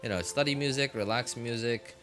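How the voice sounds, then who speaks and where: A man chatting casually as he streams a game, rambling and halting as he thinks aloud. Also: medium pitch, music in the background. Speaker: live streamer. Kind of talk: casual gaming stream chat.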